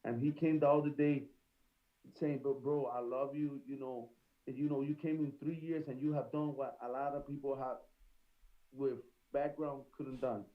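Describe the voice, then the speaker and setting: A man's voice: mid-range voice, steady tone, moderate pace, narrow, tinny audio. A man from Puerto Rico with a strong Puerto Rican Spanish accent, a boxer talking earnestly in an interview and often checking "you understand?". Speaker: boxer guest. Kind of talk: casual interview chat.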